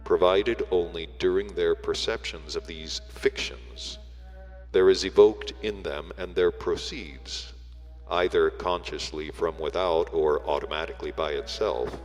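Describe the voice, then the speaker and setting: A man reading a book aloud as solemn, scripted narration, in measured, deliberate phrases with weighty breaks. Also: sits low, fairly even intonation. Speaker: audiobook reader. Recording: long reverb, music behind the voice. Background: music.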